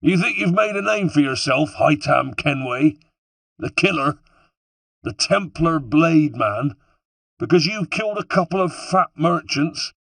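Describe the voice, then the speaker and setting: Man acting a sneering grizzled West Country villain, pitched up. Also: gravelly, a bit bright, no echo, full character voice. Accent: West Country English. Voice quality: wheezy, breathless. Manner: taunting, contemptuous.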